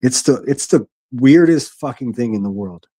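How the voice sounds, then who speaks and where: A man with a gruff voice hosting a solo livestream from his quiet living room, reading chat and speaking bluntly with frequent restarts. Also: mid-range voice, lively intonation, moderate pace, no room sound. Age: adult, a military veteran. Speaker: livestream host.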